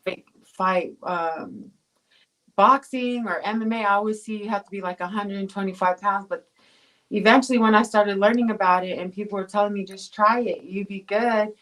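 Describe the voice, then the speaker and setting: A woman's voice: mid-range voice, moderate pace, fairly bright tone, low-quality recording. A woman from Tonga, a guest pro boxer, talking casually in an interview, with rambling phrasing full of fillers and restarts.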